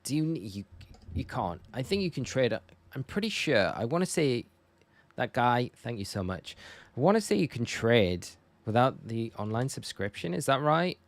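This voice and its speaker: A man's voice: mid-range voice, big pitch swings, normal speed, no room sound. A man from northeast England with a northern English accent, chatting casually and informally to viewers as a live game streamer. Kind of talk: casual livestream chat while gaming.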